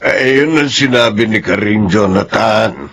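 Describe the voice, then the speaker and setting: An actor playing a genial, inquisitive middle-aged uncle in a radio drama, speaking in a measured, probing way. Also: male voice, lowish voice, a bit bright. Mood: calm, reasoning.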